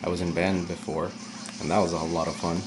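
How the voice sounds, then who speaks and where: Young male let's-player, low and sometimes monotone, loose rambling delivery; camera mic with light background hiss. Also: a little fast. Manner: rambling, chatty, drifts off topic. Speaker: let's play gamer. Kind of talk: casual gameplay commentary. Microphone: camera aimed at the game screen.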